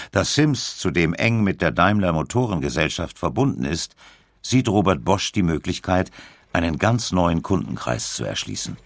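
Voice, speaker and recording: A man reading a scripted documentary narration in a measured storytelling way with deliberate emphasis. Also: low-pitched, vocal fry, dry recording, studio quality. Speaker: audiobook narrator.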